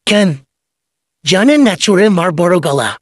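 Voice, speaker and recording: A man's voice: sits high, animated, moderate pace, no room sound, studio quality. A man playing a sly, cheeky village goat thief in a comedy cartoon, with a theatrical manner. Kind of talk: cartoon voice acting.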